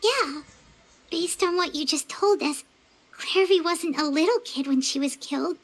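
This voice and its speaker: A woman voicing a small, excitable, childlike fairy companion in a video game, with a squeaky voice and an earnest manner. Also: unhurried. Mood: curious, puzzled. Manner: speaks of self in third person, earnest. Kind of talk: video game character dialogue.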